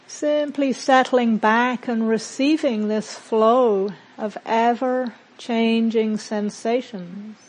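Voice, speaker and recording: A woman leads a guided meditation in a fairly high voice. Her delivery is very slow and gentle, with long pauses left between short phrases. She speaks as a teacher giving calm, unhurried instruction. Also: rough recording.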